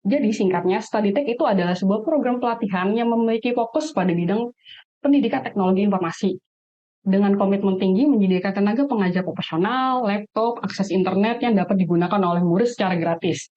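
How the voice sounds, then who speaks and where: An Indonesian woman hosts an online event with a mid-pitched voice. She talks casually and chattily in informal slang. The audio is slightly dull and lo-fi. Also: fast. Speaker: webinar host. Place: online webinar call.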